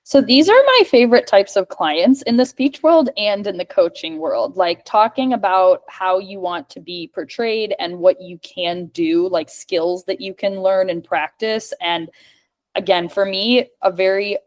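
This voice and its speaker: A woman's voice: mid-range voice, animated, unhurried, darkish tone. A woman who is a speech-language pathologist and communication coach, answering questions in a casual chat over a video call, with a lot of "like" in her speech. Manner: chatty, fillers of "like".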